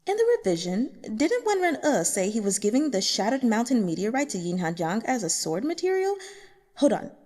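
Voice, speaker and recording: A woman voicing excitable, indignant online novel commenters in an audiobook, slangy and exclamatory. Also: on the high side, big-room sound. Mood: excited. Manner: slangy, exclamatory, fast-reacting.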